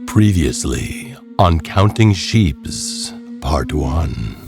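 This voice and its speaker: A low-voiced man narrates a horror tale over music. He takes his time, pausing for suspense, and his pitch swings widely as he builds the drama. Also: big pitch swings, creaky. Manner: deliberate, suspenseful, dramatic pauses. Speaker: narrator of an audio horror story.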